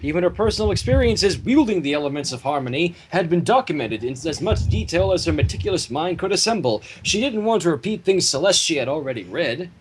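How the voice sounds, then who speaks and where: A man reading a story theatrically on a livestream, breaking off into casual banter, with a husky voice. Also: a little high, very animated, a little fast, hardly any pauses, a little hiss or hum, rough recording. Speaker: fan fiction reader and livestream host.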